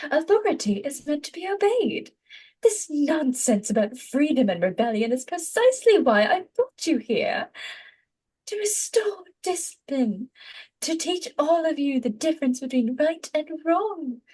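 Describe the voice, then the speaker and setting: A woman's voice: sits high, sing-song, measured pace, a little mellow, pitched above the performer's own voice. A woman playing a prim, authoritarian school disciplinarian, giving a stern, clipped scolding with menace.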